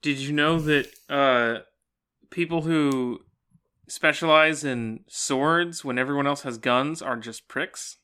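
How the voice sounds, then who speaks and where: Clear, bright-voiced man chatting, unhurried pace. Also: on the high side. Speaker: podcast co-host. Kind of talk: casual book discussion.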